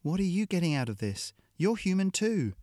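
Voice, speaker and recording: A man's voice: sits high, sing-song, normal speed, warm tone, no room sound, clean studio sound, voice pushed up. A man voicing a young, defiant princess who pleads desperately with her captor. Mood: pleading.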